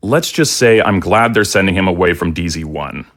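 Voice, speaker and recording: An actor playing a grave, guarded older general in an audio drama, speaking in a formal, measured, confiding way. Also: male voice, deep voice, expressive, a bit bright. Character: grave, guarded older male military general, quietly loyal.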